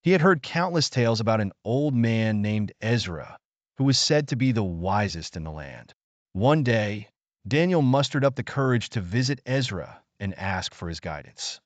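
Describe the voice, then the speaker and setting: A man tells a children's bedtime story as a scripted narration. His lowish voice moves expressively up and down with measured phrasing, and the sound is close and dry with no room on it. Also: big pitch swings, no echo, highs cut off.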